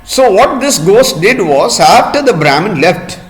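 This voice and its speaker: A man telling a folk tale to children in a deliberate manner, pausing often and repeating or explaining words as he goes. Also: high-pitched, very animated. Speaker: storyteller for children. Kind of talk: storytelling for children, with word explanations.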